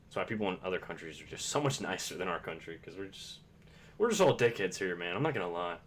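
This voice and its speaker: Deep-voiced laid-back streamer guy. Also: lively intonation, quick pace, a little hiss or hum. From Kentucky, US. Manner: rambling, profane, laid-back. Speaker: game streamer. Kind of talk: casual chat while streaming a racing game.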